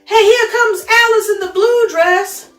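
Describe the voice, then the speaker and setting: A woman storyteller becomes an urgent street lookout from a picture book. The voice leaps high and loud, calling out through a roomy echo. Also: steady tone, a little slow, distant mic, faint music behind. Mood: urgent warning.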